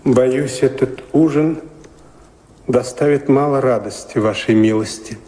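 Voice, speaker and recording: A man's voice: mid-range voice, measured pace, warm tone, lightly acted. An actor playing a courteous, cautious manservant in a radio play, hesitant and apologetic with his mistress. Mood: worried, cautioning. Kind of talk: radio play stage acting. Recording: echoey hall, faint background noise.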